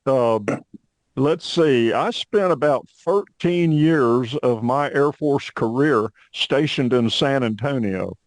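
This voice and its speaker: A middle-aged man hosts a history podcast in a friendly, chatty way, dropping in frequent uhs between his thoughts. His voice sits at a medium pitch and is recorded dry and close. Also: takes it slowly, dry recording. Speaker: podcast host. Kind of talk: podcast interview hosting.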